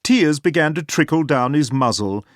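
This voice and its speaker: A man reading a children's story aloud as narrator, expressive and clearly phrased. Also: a little high, normal speed, no echo, clean studio sound. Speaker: storybook reader.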